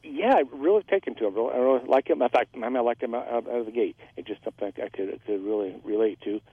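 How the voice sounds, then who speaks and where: A man calling in to a radio talk show, a voice actor chatting with many hesitant pauses. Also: medium pitch, breathy edge, no echo. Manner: halting, many filler pauses. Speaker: caller, voice actor. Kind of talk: call-in chat. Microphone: phone. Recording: tinny phone line.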